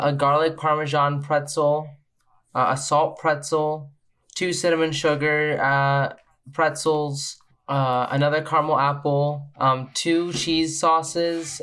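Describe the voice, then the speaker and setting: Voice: medium pitch, a bit bright, clear, resonant tone. A player voicing a generous kid adventurer who orders hesitantly with many fillers.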